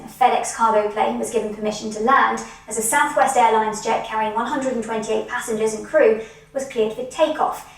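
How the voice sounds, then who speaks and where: Echoey, higher-voiced woman news anchor. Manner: formal, polished broadcast delivery. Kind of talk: scripted news reading and live interviewing. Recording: very echoey, distant from the mic.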